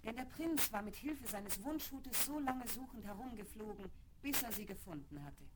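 A woman's voice: a little high, expressive, normal speed. A woman narrating a scripted fairy tale as the storyteller of a children's audio play. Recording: very noisy old vinyl record rip.

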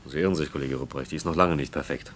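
Radio play role: young factory engineer, deep voice, brisk, self-assured, noisy ambient backdrop. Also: male voice, fairly bright tone. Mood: intent, matter-of-fact. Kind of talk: German radio play acting.

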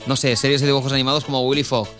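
A man's voice: mid-range voice, flat delivery, rapid-fire, fairly bright tone. A man from Spain with a Spanish accent hosting a radio show, moving between casual chat and narration, sometimes searching for words. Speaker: radio host. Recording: music behind the voice. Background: music bed.

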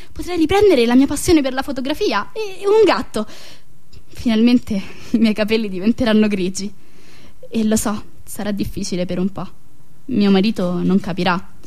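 A woman's voice: mid-range voice, darkish tone, close to an everyday voice. An actress playing a restless, wry married woman in a passionate radio monologue, with a theatrical, confiding manner. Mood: resolute, wistful. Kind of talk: dramatic stream-of-consciousness monologue.

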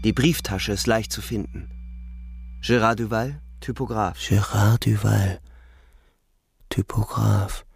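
An actor playing a weary, haunted young German soldier who narrates his war in a radio play, with a gravelly voice. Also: fairly low pitch, steady tone, slow pace, long pauses, full character voice. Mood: haunted, grieving. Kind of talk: literary radio play narration in first person. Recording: music under the voice.

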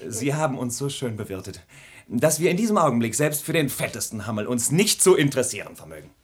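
An actor playing a gallant, impatient young gentleman from the capital in a period comedy radio play, urbane and ardent. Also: mid-range voice, sing-song, fast talker, lightly acted. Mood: breezy, impatient.

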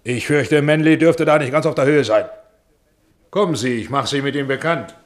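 Pompous, patronizing male studio mogul, middle-aged, medium pitch, played by a male actor, radio drama. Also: moderate pace, slight background noise, lightly acted. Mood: self-satisfied, patronizing. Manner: pompous, patronizing, self-satisfied. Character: self-important, jovial middle-aged male film studio boss.

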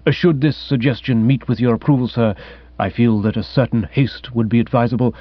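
A man's voice: mid-range voice, steady tone, a little fast, no room sound, dull audio, close to an everyday voice. A man voicing an unflappable, deferential English manservant in a comic audiobook, composed and very formal. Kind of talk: comic audiobook character acting.

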